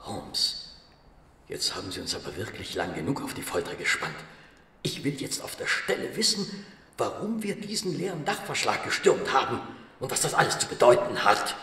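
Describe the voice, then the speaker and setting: An actor playing a detective's puzzled, impatient gentleman companion in a German radio play, hesitant and emphatic. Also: medium pitch, very animated, moderate pace, recorded from a distance. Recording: roomy, off-mic, faint noise.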